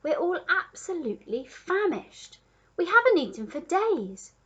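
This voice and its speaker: A woman's voice: very high-pitched, very animated, unhurried, a bit bright, lo-fi sound, pitched above the performer's own voice, exaggerated voice. A woman voicing a polite, gushing, hungry female giraffe in an animal storybook, with a genteel, earnest, emotional delivery. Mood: polite, anxious.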